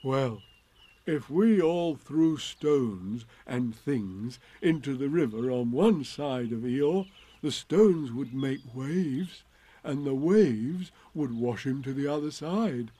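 A man voicing an earnest, simple-minded bear in a storybook, halting and apologetic. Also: mid-range voice, lively intonation, dark tone, no room sound. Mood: hesitant.

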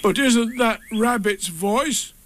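A man voicing an amiable, simple-minded storybook bear who speaks in a slow, earnest, drawn-out way. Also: very high voice, fairly bright tone, a little hiss or hum, pitched above the performer's own voice, full character voice. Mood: puzzled. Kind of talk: children's storybook reading.

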